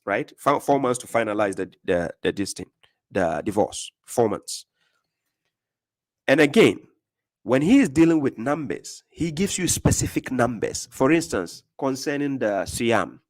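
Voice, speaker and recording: A man from Ghana with a Ghanaian accent, giving informal religious teaching as a preacher, emphatic, repeating himself and often checking that listeners follow. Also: medium pitch. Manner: emphatic, repeats phrases, often asks "do you get my point?". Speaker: Islamic preacher.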